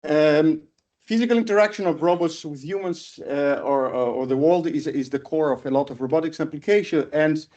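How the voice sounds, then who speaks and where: Halting Italian professor, dull lo-fi sound. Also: male voice, on the high side, mellow. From Italy. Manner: halting, repeats words as he thinks. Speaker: professor giving a seminar. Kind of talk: informal academic seminar talk. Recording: dull, lo-fi lecture audio.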